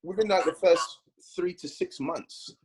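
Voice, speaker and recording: A man from London with a London accent, a guest bodybuilder chatting on a podcast, rambling and animated as he tells his story. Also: sits high, a little slow, slightly rough, poor audio. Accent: London English. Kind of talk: casual podcast chat.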